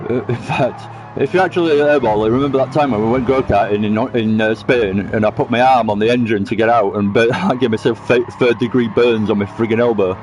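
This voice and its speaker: A man's voice: mid-range voice, moderate pace, pauses often, background noise. A man giving casual, chatty commentary while playing a racing game, laughing often and teasing friends. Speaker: sim racing streamer. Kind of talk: casual live-stream gameplay commentary. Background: music.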